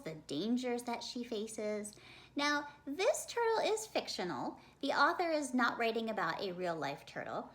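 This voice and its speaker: A woman reading a children's nonfiction picture book aloud like a teacher, in an expressive, deliberate storytelling manner. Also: fairly high pitch.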